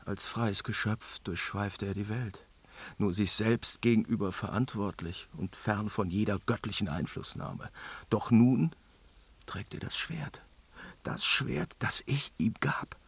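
A man's voice: on the low side, unhurried, a bit bright, breathy edge, full character voice. An actor playing a weary, tormented, commanding father god in a fantasy radio drama, solemn and brooding, slipping into whispers. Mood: brooding, defeated. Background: dramatic music.

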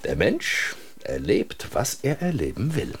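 A man's voice: fairly low pitch, huge pitch swings, a little slow, breathy edge, full character voice. An actor playing an easygoing, bantering man from a 1950s small town in a radio drama, teasing and chuckling as he talks. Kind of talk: naturalistic German radio drama dialogue.

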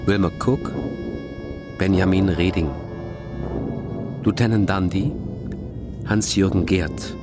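Low, slow male announcer over music. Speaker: radio play announcer. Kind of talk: scripted credits announcement.

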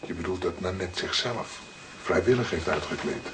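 An actor playing a young junior police detective with a Dutch accent, questioning and impatient, in a detective drama. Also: male voice, low voice, normal speed, close to an everyday voice. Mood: puzzled, questioning. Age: young adult. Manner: probing, questioning. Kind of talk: dramatic detective dialogue acting. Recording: noisy track with background ambience.

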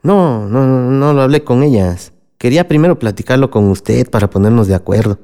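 A man's voice: medium pitch, expressive, fairly quick, dark tone, close to an everyday voice. A Mexican man playing a scheming, condescending small-town mayor in a radio soap opera, speaking with insinuation. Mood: smooth persuasion. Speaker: radio drama actor.

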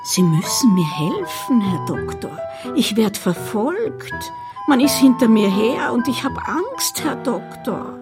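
An actress playing a paranoid actress of almost seventy, melodramatic and pleading, in a radio drama. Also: female voice, a little high, very animated, slow pace, exaggerated voice. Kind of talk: German radio drama acting. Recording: loud music behind the voice.

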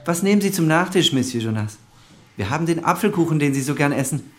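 An actor playing an effusive, nosy restaurant owner in a radio play, jovial, solicitous and prying. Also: male voice, fairly high pitch, animated, fast, faint music behind, lightly acted. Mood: jovial, welcoming. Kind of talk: German radio play acting.

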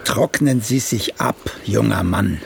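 A man's voice: on the low side, slow, creaky, slight background noise, heavily acted. A man playing a vain, wry, older playwright in a German radio drama, theatrical and sardonic. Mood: dry, sardonic.

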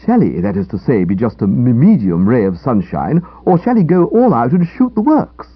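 A man's voice: medium pitch, huge pitch swings, normal speed, breathy edge, dry recording. Guy doing a grumbly posh english writer chap, young and sorry for himself, comic audiobook, voice jumps all over, muffled lo-fi sound. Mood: aggrieved, dramatic. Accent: upper-class English. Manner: grumbling, theatrical, self-pitying. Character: gloomy, aggrieved young male writer friend, upper-class English. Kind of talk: comic audiobook character dialogue. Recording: dull lo-fi radio-style recording.

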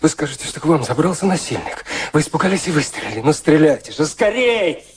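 A man's voice: a little high, big pitch swings, fast, background noise. An actor playing a lovesick, bitter and reckless man in a radio play, theatrical, brooding and commanding. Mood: desperate goading.

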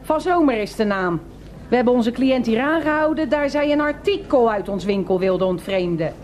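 Woman acting a pompous, high-voiced female store detective. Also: lively intonation, normal speed, darkish tone, natural voice. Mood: smugly formal. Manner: pompous, long-winded formal officialese, royal we. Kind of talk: radio play acting. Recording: radio drama with faint background noise.

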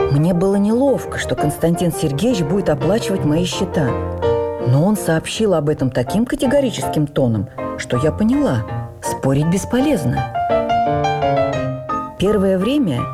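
A woman with a fairly low voice performs a literary memoir for radio. Her phrasing is theatrical and expressive, in the way of a stage actress telling her own story. Music plays clearly beneath her narration. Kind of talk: dramatic first-person literary narration for radio. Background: music under the voice.

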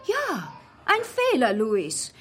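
A woman in a radio play acting the sharp-tongued, jealous wife of a film star, sarcastic and pressing. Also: high-pitched, wildly swooping pitch, drawn-out words, music in the background, heavily acted. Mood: urgent, pressing.

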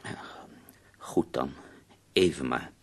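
An actor playing an ailing, bedridden poet in a radio drama, wry and death-weary, speaking theatrically with dramatic pauses. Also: male voice, a bass voice, full character voice. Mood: irritable. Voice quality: husky, gravelly.

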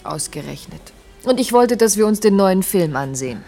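A woman's voice: mid-range voice, expressive, moderate pace, vocal fry, mellow, soft background music. A woman acting in a German radio drama as a sardonic, jealous doctor and wife who needles with sarcasm. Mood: reproachful.

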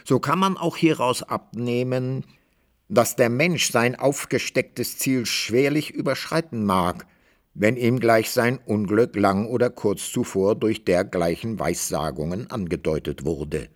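A man narrating a classic literary radio drama in measured, archaic storytelling language. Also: mid-range voice, close to an everyday voice.

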